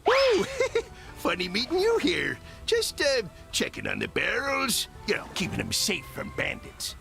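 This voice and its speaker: A man voicing a greedy, scheming cartoon crab with a gravelly voice and a folksy, shifty, blustering manner. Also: high voice, huge pitch swings, a little slow, music in the background, exaggerated voice. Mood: shifty, gleeful. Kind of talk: animated game cutscene acting.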